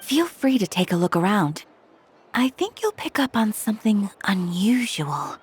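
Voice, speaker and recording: A woman playing a composed young guild receptionist in a video game, speaking in a polite, measured way. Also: mid-range voice, sing-song, faint music behind. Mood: composed, knowing.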